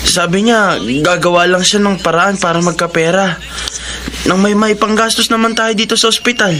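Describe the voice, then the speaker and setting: An actress playing a dutiful young Filipino grandson in a radio drama, polite and earnest. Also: female voice, medium pitch, fairly even intonation, normal speed, a bit bright, natural voice. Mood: worried. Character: dutiful young grandson, elder brother to his sister. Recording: clearly noisy, clicks behind.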